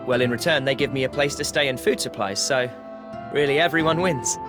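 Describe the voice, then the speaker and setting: Guy voicing friendly young wanderer in a game, earnest n casual, normal pitch, music behind him. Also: fairly quick, close to an everyday voice. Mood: earnest, resolute. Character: easygoing, determined young human male wanderer. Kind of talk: video game cutscene acting.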